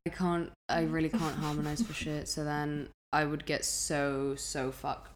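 Casting: young female podcast co-host, low register, casual and wandering in delivery, happy to swear. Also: little pitch movement, unhurried, a little hiss or hum. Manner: informal, rambling, sweary.

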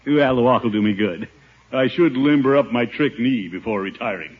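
An actor playing a pompous, blustering middle-aged American bachelor uncle in a 1950s radio situation comedy. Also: male voice, medium pitch, moderate pace, slightly rough, natural voice. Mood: boastful. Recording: dull old 1950s radio recording.

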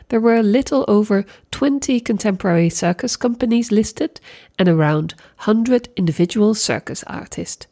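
A woman's voice: mid-range voice, darkish tone. A woman hosting an arts report, narrating from a script and putting questions to guests. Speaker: host, interviewer.

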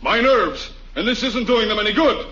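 Haughty huffy neighbour, high voice, pompous, aggrieved, vintage radio comedy, noisy muffled recording. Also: male voice, lively intonation, normal speed. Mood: indignant. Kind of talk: 1940s radio comedy acting.

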